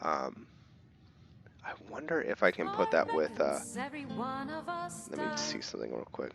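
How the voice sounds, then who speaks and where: A man giving casual gameplay commentary, thinking aloud in halting fragments as he hosts a gaming video. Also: sits low, huge pitch swings, gravelly. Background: game music and sound effects.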